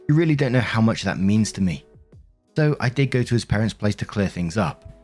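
A man's voice: medium pitch, darkish tone. A man reading online stories aloud and adding chatty asides as narrator. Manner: conversational, with frequent asides.

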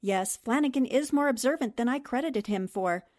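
Lively, sharp-witted 1940s newspaperwoman, played by a woman. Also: fairly high pitch, moderate pace, natural voice. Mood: composed. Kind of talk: 1940s radio drama acting.

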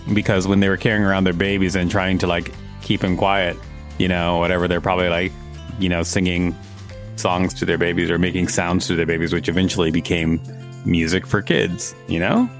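A man's voice: low-pitched, flat delivery, a little fast, soft background music. A man hosting a podcast, reading reference text aloud and adding casual commentary. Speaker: podcast host.

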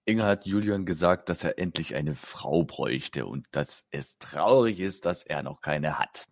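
Man playing a gravelly wry detective. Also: very deep voice, expressive, unhurried, no room sound, exaggerated voice. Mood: calm. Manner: dry, expert, placating. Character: calm, wry male detective colleague.

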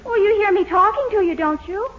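A woman's voice: very high voice, expressive, brisk, background noise. A woman playing a sensible, determined young fiancée in a 1940s radio comedy, emphatic and no-nonsense. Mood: firm, exasperated. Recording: muffled radio recording, live studio audience.

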